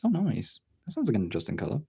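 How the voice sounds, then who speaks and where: Casual art streamer: gravelly and animated, talks to self, swears freely, slight noise on the recording. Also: medium pitch, rapid-fire. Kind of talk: casual chat while colouring artwork.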